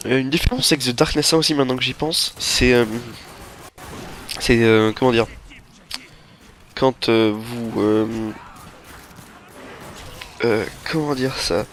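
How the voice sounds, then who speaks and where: A young man with a stuffy nose from a cold, giving rambling, halting gameplay commentary into a headset mic. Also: mid-range voice, a bit bright, a little hiss or hum. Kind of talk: game walkthrough commentary. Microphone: headset microphone.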